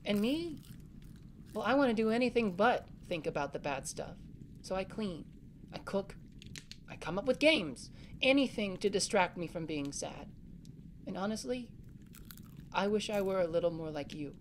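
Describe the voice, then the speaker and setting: Woman acting earnest, very expressive protective older sibling. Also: mid-range voice, normal speed, pauses often, slight background noise, close to an everyday voice. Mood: earnest, tender. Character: anxious, protective older sibling stuck in snowbound cabin. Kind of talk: family audio drama acting.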